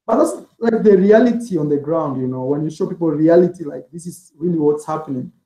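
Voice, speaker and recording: A man's voice: fairly high pitch, a little fast, mellow, roomy sound, low-quality recording. A man being interviewed as a guest, talking in a loose, rambling way and leaning on "like" and "man" as fillers. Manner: rambling, heavy use of "like" and "man". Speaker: interview guest, travel vlogger. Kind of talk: casual interview chat.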